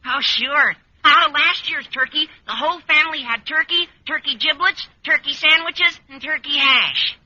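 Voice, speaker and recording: Eager teen boy in an old radio sitcom, really high cracking voice, super bright, muffled vintage recording. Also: normal speed, few pauses, full character voice. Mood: gushing, enthusiastic. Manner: gushing, earnest, cracking adolescent delivery. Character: eager, guileless teenage high-school boy. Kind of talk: 1940s-50s radio sitcom acting.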